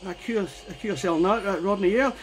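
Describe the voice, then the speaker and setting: A man's voice: sits high, fast, very noisy. A man chatting casually and informally as an amateur radio operator, often checking that he is understood. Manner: chatty, informal, repeats "you know what I mean". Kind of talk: casual radio contact chat.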